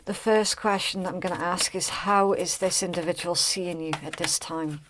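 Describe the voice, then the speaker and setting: A woman with a slightly raspy voice, chatting casually through a tarot reading with many ums and restarts. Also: lowish voice, fairly even intonation, normal speed, background noise. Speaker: tarot reader.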